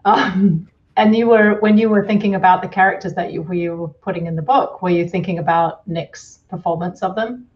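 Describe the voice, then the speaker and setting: A woman's voice: fairly low pitch, moderate pace, dull audio. A middle-aged woman hosting a conversational interview over a video call from home. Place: indoors at home, room with bookshelves, on a video call.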